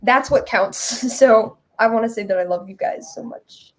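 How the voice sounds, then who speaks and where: A woman's voice: fairly high pitch, normal speed. A woman chatting casually and earnestly as an interview guest. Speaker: musical creator, interview guest. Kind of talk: casual chat.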